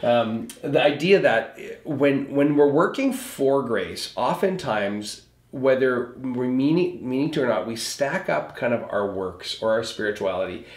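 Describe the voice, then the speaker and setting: A man's voice: medium pitch, animated, unhurried. A man speaking as a pastor, explaining scripture in an earnest, conversational recap of a sermon, repeating points for emphasis. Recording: roomy echo.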